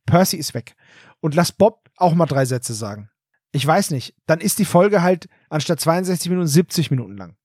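Guy podcast co-host, voice on the high side, emphatic casual opinions, very dry sound. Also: sing-song, a little fast, a little mellow, no echo. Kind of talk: casual discussion of an audio drama.

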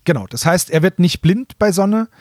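Male podcast co-host, fairly high voice, dry sound, loose colloquial explainer who corrects himself. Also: animated, moderate pace, darkish tone, dry recording. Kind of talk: casual chat retelling a story.

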